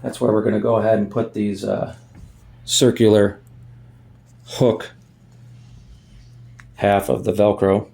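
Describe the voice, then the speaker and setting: A man's voice: on the low side, flat delivery, slow, gravelly, pauses often, warm tone, a little hiss or hum. A man giving a casual hands-on tutorial in a folksy way, thinking aloud as he works, recorded on a phone. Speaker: amateur DIY video maker.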